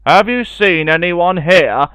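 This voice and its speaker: A man voicing a huge, hungry, menacing giant in a loud booming voice for a storybook reading. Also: takes it slowly, smooth, dry recording, full character voice. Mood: demanding.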